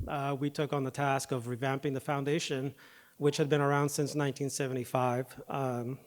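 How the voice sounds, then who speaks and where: Firefighter giving a speech at the podium. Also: male voice, mid-range voice. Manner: hesitant start with fillers, then steady reading of a prepared speech. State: voice affected by recent dental work and illness. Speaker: firefighter paramedic and foundation president. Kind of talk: prepared speech at an awards podium. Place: awards ceremony hall. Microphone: podium microphone.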